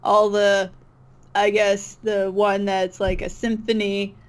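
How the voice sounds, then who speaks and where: A woman's voice: medium pitch, little pitch movement, takes it slowly. A woman chatting casually as an interview guest, agreeable and hesitant, often trailing off. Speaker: interview guest, online comic dub creator. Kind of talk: casual chat.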